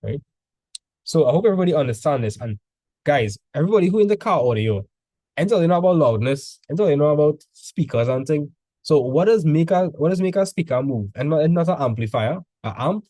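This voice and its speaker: A man's voice: fairly high pitch, animated, fairly quick, warm tone, no room sound. A male teacher tutoring students in a casual session, full of "like" fillers, quizzing them with questions as he goes.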